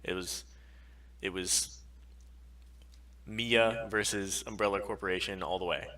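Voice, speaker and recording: A man's voice: low voice, fairly even intonation, a little slow, bright, forceful tone. A man co-hosting a podcast live stream, chatting casually and bluntly with opinions and plenty of swearing.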